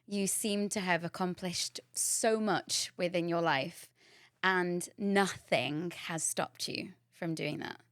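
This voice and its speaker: A woman's voice: on the low side, slow pace, a bit bright, clean tone, dry recording, clean studio sound. A woman hosting a podcast, reading a scripted intro and then chatting casually, bubbly and effusive with frequent laughter. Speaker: podcast host.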